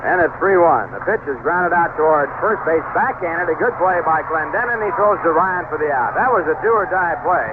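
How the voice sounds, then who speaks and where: A man's voice: a little high, animated, moderate pace, hardly any pauses. A man with an American accent calling baseball play-by-play in the style of 1970s radio. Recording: noisy, tinny old AM radio broadcast.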